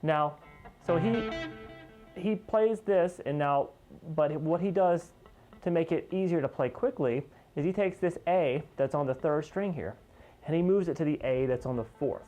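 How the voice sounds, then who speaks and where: A man's voice: fairly high pitch, dark tone. Man giving an informal guitar lesson. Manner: conversational, explanatory, pauses to point out notes. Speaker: guitar teacher. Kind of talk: informal instructional lesson, demonstrating step by step.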